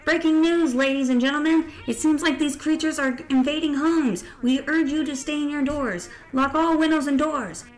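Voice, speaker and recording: Woman as an urgent, high-pitched radio news announcer. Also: moderate pace, few pauses, lightly acted, rough recording. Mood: urgent alarm. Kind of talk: breaking-news bulletin inside a story.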